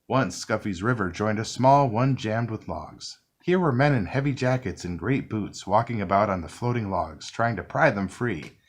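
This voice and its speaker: A man narrates a classic children's story in a read-along style. His voice sits on the low side, yet it rises and falls a great deal as he reads gently to young listeners. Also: normal speed. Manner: gentle, expressive reading. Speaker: storybook reader.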